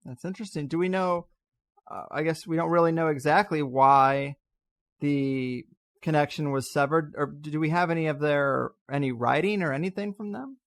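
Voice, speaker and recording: A man's voice: on the high side, moderate pace. A man hosting an interview in a casual, conversational way, putting questions to a guest. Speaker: interview host.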